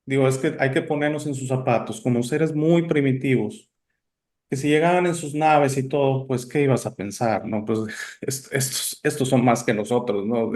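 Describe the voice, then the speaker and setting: A man's voice: medium pitch, fairly even intonation, moderate pace, a little mellow. A man born in Italy hosting a casual interview, talking in a chatty, rambling way with many fillers and restarts. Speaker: interview host.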